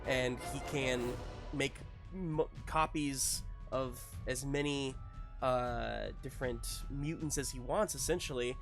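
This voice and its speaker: A man's voice: mid-range voice, fairly even intonation, slow, soft background music. A man narrating as game master of a casual live roleplaying game, with an enthusiastic, encouraging manner.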